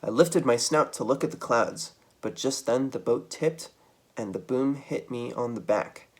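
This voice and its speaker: A man reading a children's book aloud as a storytime reader, with dramatic, expressive delivery. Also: mid-range voice, unhurried.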